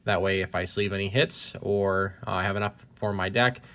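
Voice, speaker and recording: A man's voice: deep voice, fairly even intonation, moderate pace, fairly bright tone. A man talking casually while opening trading cards, slightly congested from a cold, reading card text aloud, recorded on a phone. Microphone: phone recording at point-of-view angle.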